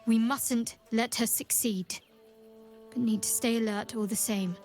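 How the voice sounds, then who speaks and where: A woman playing a resolute, cautious adventurer in a video game, earnest and deliberate. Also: fairly high pitch, steady tone, a little mellow, faint music behind, close to an everyday voice. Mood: resolute, wary. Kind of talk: video game character acting.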